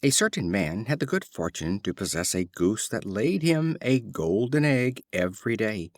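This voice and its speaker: A man reading storybook fables as scripted narration, in an expressive, deliberate storytelling manner. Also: on the low side, no echo, clean studio sound. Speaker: audiobook reader.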